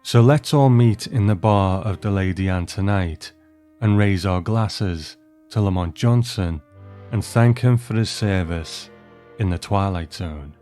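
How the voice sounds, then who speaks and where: A man with a low voice hosts a scripted episode commentary. His delivery is measured and explanatory, and light music plays behind him. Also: dark tone. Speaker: host of a commentary show on an old TV series.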